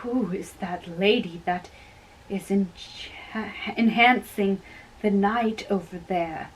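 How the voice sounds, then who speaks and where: A woman playing a lovestruck, earnest young man in an amateur dramatic reading of Shakespeare, delivered in a halting, deliberate way. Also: mid-range voice, a little hiss or hum, natural voice, poor audio. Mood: dreamy, smitten.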